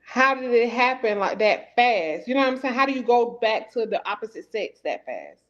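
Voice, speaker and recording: A woman co-hosting a podcast in casual chat, talking haltingly with restarts and lots of "you know". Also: a little high, very animated, fairly bright tone. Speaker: podcast co-host. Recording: dull lo-fi livestream audio.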